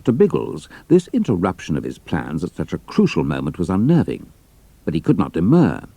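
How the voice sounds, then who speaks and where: A British man narrates an adventure story in a very deep voice. His pitch moves widely and expressively over a dark, mellow tone, recorded very dry. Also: huge pitch swings, brisk, vocal fry, no echo.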